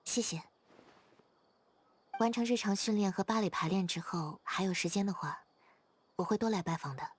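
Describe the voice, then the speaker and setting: A woman voicing a gentle, reserved young ballerina in a video game, speaking softly, with measured hesitation. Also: mid-range voice, a little hiss or hum, lightly acted. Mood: quiet, tender. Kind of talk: video game character dialogue. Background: soft background music.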